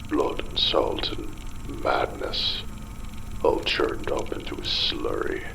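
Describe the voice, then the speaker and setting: A man playing a haunted father losing his mind in a horror audio drama, speaking in a gravelly, halting, breathy half-whisper, worn out and parched. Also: noisy background, lightly acted. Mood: grim, haunted. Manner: halting, broken phrases with heavy pauses. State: exhausted, parched. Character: haunted, splicing-mad father fleeing a ruined undersea city.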